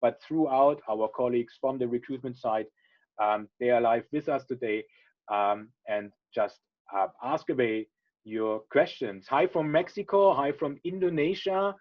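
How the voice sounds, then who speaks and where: A man's voice: mid-range voice, unhurried. A middle-aged man from Germany hosting a webinar over a video call, chatty and friendly with many fillers. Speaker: webinar host. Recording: dull lo-fi video call audio.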